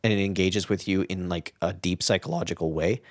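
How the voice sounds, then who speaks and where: A man's voice: sits low, brisk, dry recording, studio quality. A man co-hosting a casual podcast discussion, explaining his thoughts reflectively and often checking in with the listener. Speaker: podcast co-host.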